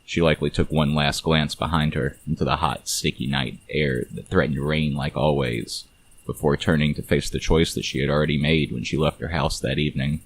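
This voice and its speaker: A man narrating a scripted noir story in a measured, deliberate way. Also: a bass voice, fairly even intonation, slight background noise.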